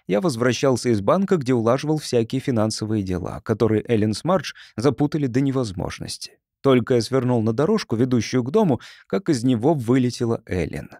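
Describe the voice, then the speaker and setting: A male audiobook narrator reads fiction expressively. His voice is mid-range with lively intonation, and the recording is dry, with no room sound. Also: medium pitch, a little fast, hardly any pauses, no room sound.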